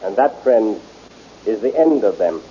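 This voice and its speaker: A man's voice: on the low side, lively intonation, unhurried, warm tone, breathy edge, dull audio, exaggerated voice. A man playing a grave, cautious exiled prince in an old radio serial. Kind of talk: 1930s radio acting.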